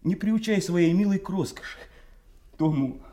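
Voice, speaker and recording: Radio drama: male actor as mad, pitiful beggar, erratic and haunted; high register, soft-edged tone, roomy echo. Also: moderate pace, close to an everyday voice. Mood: pitiful raving. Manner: rambling, chanting, erratic outbursts. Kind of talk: theatrical radio drama acting.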